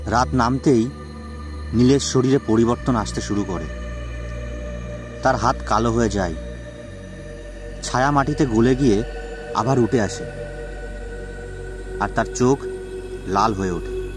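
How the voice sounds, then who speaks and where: A man's voice: mid-range voice, measured pace, pauses often. A man narrating a suspense horror story in a dramatic storytelling style. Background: background music.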